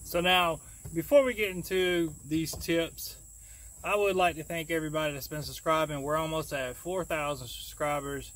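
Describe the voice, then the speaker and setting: A man's voice: fairly high pitch, steady tone, moderate pace, fairly bright tone, a little hiss or hum. A young man, giving fishing tips in a chatty, folksy, rambling way, recorded outdoors on a close camera. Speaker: fishing channel host. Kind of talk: casual fishing tips vlog. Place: outdoors in a leafy backyard. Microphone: camera close at arm's length.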